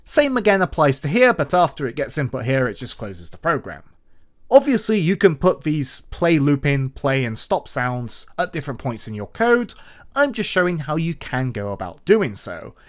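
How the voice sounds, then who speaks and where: Lively, swooping male tutorial narrator. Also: fairly high pitch, normal speed. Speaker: tutorial host. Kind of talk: scripted coding tutorial narration over screen recording.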